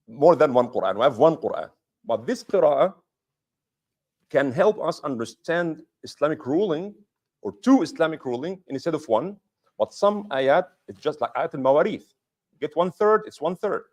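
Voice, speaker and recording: A man giving a mosque lecture as imam, explaining patiently with rhetorical questions and repeating key points for emphasis. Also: fairly high pitch.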